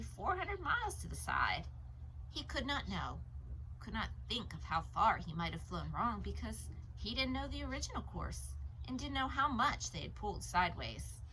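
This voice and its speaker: A woman narrating a novel aloud as an audiobook, with dramatic, deliberate phrasing. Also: lowish voice, sharp tone, a little hiss or hum, rough recording.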